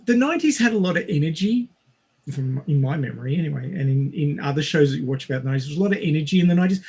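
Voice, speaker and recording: A middle-aged man in a casual film discussion. His voice is fairly high for a man, with a husky edge. He talks emphatically, like a co-host with firm opinions. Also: lively intonation, rapid-fire, darkish tone. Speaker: podcast co-host.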